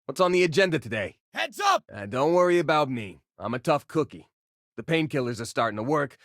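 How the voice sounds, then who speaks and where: A man's voice: a little high, big pitch swings, fairly quick, fairly bright tone, dry recording, studio quality. A male actor voicing an upbeat, joking young space miner as a companion in a video game, with casual, eager delivery. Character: upbeat, wisecracking young space miner, rock-collecting homebody crewmate.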